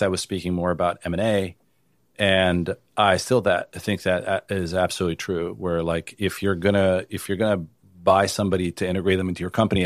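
Low-voiced man, relaxed interview talk. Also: no room sound, studio quality. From Italy. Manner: casual, frequent restarts and "you know". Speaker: venture capital investor, guest. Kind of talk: conversational interview answers.

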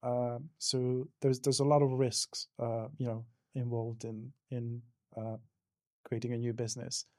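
Mellow-voiced man, dry sound. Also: medium pitch, level tone, measured pace, dry recording. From Hungary. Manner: hesitant, many fillers and restarts. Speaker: podcast guest. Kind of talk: casual interview answers.

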